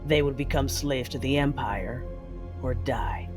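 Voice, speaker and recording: Music swells behind a ruthless Sith lord, narrating a space-opera audio drama. The delivery is measured and commanding, with cold menace in every phrase. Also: female voice, very deep voice. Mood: cold resolve. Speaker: narrator and character. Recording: music under the voice.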